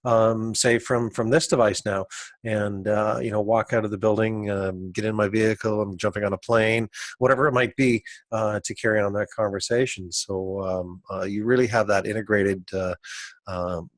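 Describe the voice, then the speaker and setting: A man presenting an informal software demo walkthrough, speaking haltingly and often repeating words as he goes. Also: lowish voice, fairly even intonation, dry recording.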